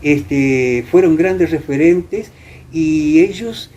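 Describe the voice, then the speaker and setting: An Argentine man recounting history conversationally as an interview guest, halting now and then as he searches for names. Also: on the high side, mellow. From Entre Ríos, Argentina. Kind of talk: conversational storytelling. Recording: muffled, clear background noise.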